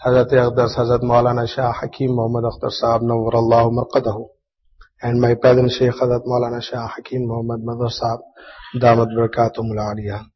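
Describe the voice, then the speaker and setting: A man with a Pakistani accent whose first language is Urdu, giving a religious talk in measured, earnest English. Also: mid-range voice, monotone, husky, long reverb tail. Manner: measured, earnest, chants some Arabic lines. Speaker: guest speaker. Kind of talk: religious talk with Arabic recitation. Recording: dull lo-fi sound.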